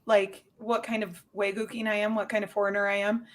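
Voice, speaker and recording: A woman's voice: mid-range voice, little pitch movement, a little fast. A woman livestreamer in casual, bantering conversation. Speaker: livestreamer on a group stream.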